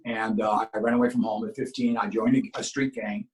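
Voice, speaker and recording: A man's voice: on the low side, level tone, brisk, a little hiss or hum, rough recording. A middle-aged man, born in Cuba with Spanish as his first language, telling stories as an actor guest in a casual interview, earnest and full of restarts. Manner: earnest, talks in long runs with many restarts.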